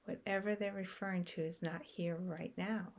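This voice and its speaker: A woman guiding a meditation in a soft, unhurried way, with long pauses between short phrases. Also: lowish voice, steady tone. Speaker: meditation guide. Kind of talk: guided meditation.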